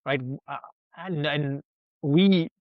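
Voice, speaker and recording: A man's voice: fairly high pitch, slow, no echo. A young man from Sri Lanka whose first language is Sinhala, speaking as an interview guest in an earnest, reflective way and thinking aloud with rhetorical questions. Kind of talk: conversational interview. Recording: dull, dry lo-fi sound.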